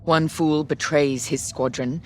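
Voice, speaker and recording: Low-voiced stern Imperial captain. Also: female voice, steady tone, unhurried, a little hiss or hum. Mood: bitter, measured. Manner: formal, clipped, commanding. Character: stern, controlled female Imperial fleet captain, bitter and loyal. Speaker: military commander giving mission briefing. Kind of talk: cinematic video game military briefing. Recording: background orchestral game music.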